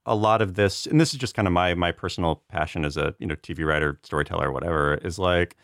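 A man's voice: sits low, fast talker, fairly bright tone, dry recording, clean studio sound. An American man in casual conversation, speaking earnestly in a rambling way peppered with "like". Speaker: podcast panelist, TV writer. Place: remote video chat recording.

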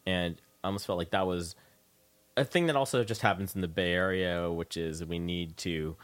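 A man's voice: low voice, moderate pace, no echo. A middle-aged man hosting a casual, conversational interview, meandering and hesitant in his phrasing. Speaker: radio show host, interviewer.

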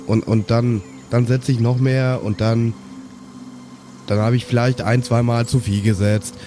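Man playing a mellow, dim-witted gambler. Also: mid-range voice, flat delivery, unhurried, a little hiss or hum, close to an everyday voice. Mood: defensive. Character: defensive, dim-witted male fantasy gambler.

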